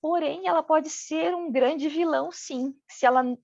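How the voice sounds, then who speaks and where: A woman with a regional Brazilian accent teaches a class, speaking as a business owner and tagging her points with "né?". Her voice sits a little high, and the dry recording sounds slightly dull and lo-fi. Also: moderate pace, gravelly, darkish tone, no echo. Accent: regional Brazilian Portuguese. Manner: talks in first person as a business owner, many "né" tags. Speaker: packaging design instructor. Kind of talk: online training lecture.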